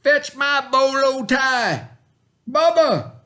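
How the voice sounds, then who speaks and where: A man voicing spoiled, bossy young cowboy stepbrothers in a shrill, drawling storybook voice. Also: animated, very slow, bright, forceful tone, full character voice.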